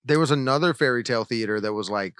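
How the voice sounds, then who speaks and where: A man's voice: medium pitch, quick pace, a bit bright, clean tone, no echo, studio quality. A man co-hosting a trivia podcast, chatting casually and reading out questions in an easygoing, good-humored way. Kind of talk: casual chat.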